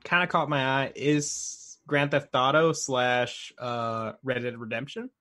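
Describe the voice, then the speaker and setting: A man's voice: medium pitch, fairly even intonation, unhurried, pushed and bright. A man co-hosting a podcast, talking informally and hesitantly as he thinks aloud. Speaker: podcast co-host. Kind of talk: casual conversational chat.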